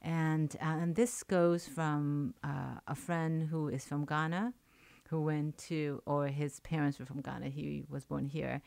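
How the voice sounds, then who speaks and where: A woman's voice: low-pitched, little pitch movement, a little slow, vocal fry, a little mellow, dry recording. A woman from China whose first language is Chinese, a poet and professor speaking as a radio interview guest, thoughtful, with many fillers and restarts. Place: radio studio.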